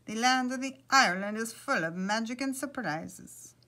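A woman's voice: a little high, moderate pace, pushed and bright. A woman voicing a good-natured, hard-working cottage farmer, a man in a storybook, in an earnest, exclaiming manner. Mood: delighted.

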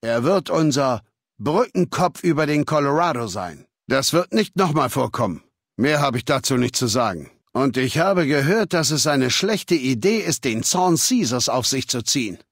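A ruthless male game warlord, middle-aged, coldly arrogant, lectures like he owns you, mid pitch, super dry sound. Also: lively intonation, breathy edge, no room sound. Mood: menacing. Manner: measured, authoritative, lecturing, coldly matter-of-fact. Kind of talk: scripted video game dialogue in German.

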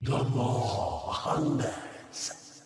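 An actor voicing an ancient, ravenous monster with ominous menace in a video game. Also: male voice, mid-range voice, animated, drawn-out words, a little mellow, heavy reverb, big-room sound, recorded from a distance, slight background noise. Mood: hungry menace. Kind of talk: video game villain lines.

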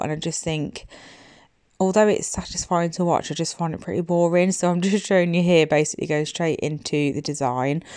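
A woman's voice: deep voice, clean tone, no room sound, clean studio sound. A young woman giving a casual, chatty nail tutorial voice-over, sounding slightly congested from hay fever. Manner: chatty, informal. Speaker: nail technician making tutorials. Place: indoors, voice-over recorded in a room at home. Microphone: phone.

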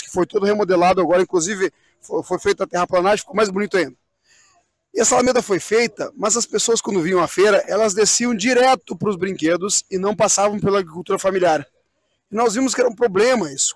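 A man's voice: high-pitched, no room sound. A middle-aged man explaining things in a live interview, repeating phrases and posing rhetorical questions. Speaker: event president being interviewed. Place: outdoors on a wooden swing in a park.

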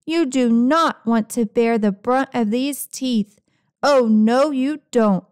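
A woman's voice: a little high, takes it slowly, a little mellow, clean tone, no room sound, studio quality. A woman voicing a grumpy, dramatic, self-pitying house cat with sarcastic, theatrical complaining in a picture-book read-aloud. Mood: alarmed, defiant.

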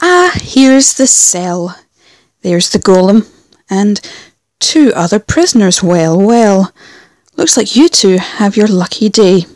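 A woman's voice: medium pitch, expressive, slow pace, darkish tone, no room sound. A woman playing a smug, threatening gang leader in an audio drama, sardonic and menacing with a drawl. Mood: smug menace.

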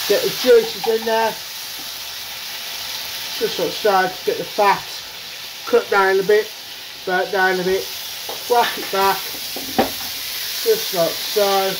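A man hosting a casual home cooking show, chatting to viewers as he works at the stove. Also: high voice. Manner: chatty, keeps saying "guys", working class speech. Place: home kitchen at the stove, frying sounds. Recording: noisy home kitchen with sizzling.

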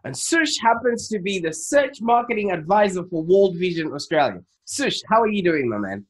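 A man's voice: high-pitched, sing-song, normal speed. A man in his thirties presenting an online interview show in an enthusiastic, wordy and emphatic way. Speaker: show host. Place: small home office, indoors. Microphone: desk microphone.

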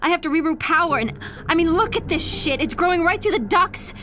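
An actress playing a tough, pragmatic, matter-of-fact engineer and survivor in a dramatic motion comic. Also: female voice, very high voice, expressive, brisk. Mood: uneasy. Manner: terse, matter-of-fact, urgent. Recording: loud dramatic music over dialogue.

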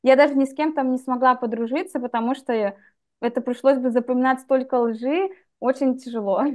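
Candid young woman with a high-ish voice. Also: fairly even intonation. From Russia. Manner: candid, reflective, measured. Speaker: guest, English teacher. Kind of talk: personal story in interview. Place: dim room indoors. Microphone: video call webcam.

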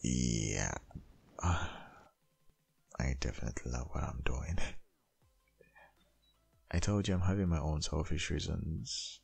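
A man playing a tender, possessive dominant boyfriend in an intimate ASMR roleplay, murmuring in a gravelly, breathy, half-whispered voice. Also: extremely low pitch, a little mellow, heavily acted. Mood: doting, firm. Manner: slow, murmuring, lingering.

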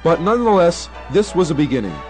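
An American man narrates a scripted radio documentary. His voice sits somewhat high. It is a formal 1970 program read, with light music behind it. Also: sing-song, quick pace. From New York, United States.

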